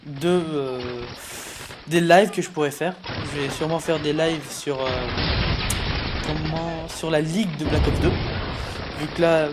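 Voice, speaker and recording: A teenage guy commentates casually over loud music and game gunfire. His voice sits a little high, and he speaks hesitantly, often tripping over himself and apologizing. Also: steady tone, a little slow. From Paris, France. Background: loud music, video game gunfire.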